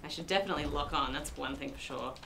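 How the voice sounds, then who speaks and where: A woman's voice: low voice, fast, gravelly, background noise. A young woman who grew up in New Zealand, hosting a casual let's-play and chatting, self-mocking and exclaiming at the game, in a small room with game music behind. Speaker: gaming video host. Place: small decorated room indoors.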